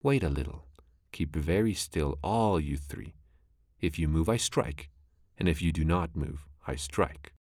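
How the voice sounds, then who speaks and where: A man voicing a vengeful female cobra in a storybook, hissing out slow, deliberate threats. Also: very deep voice, darkish tone, dry recording, studio quality, exaggerated voice. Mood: menacing.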